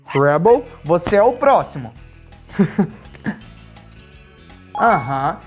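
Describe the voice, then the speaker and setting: Animated young Brazilian man hosting for kids. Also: high-pitched, very slow. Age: young adult. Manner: animated, simple child-directed phrasing. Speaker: children's video host playing a toy doctor. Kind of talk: playful children's storytelling presentation. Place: small furnished playroom studio, indoors. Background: background music.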